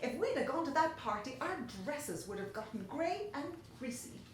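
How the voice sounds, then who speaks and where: A woman's voice: high-pitched, big pitch swings, moderate pace, pitched above the performer's own voice. A woman voicing a gossipy storybook girl, squealing and exaggerated, with gleeful disdain. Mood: gleeful scorn. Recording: very echoey room, faint noise.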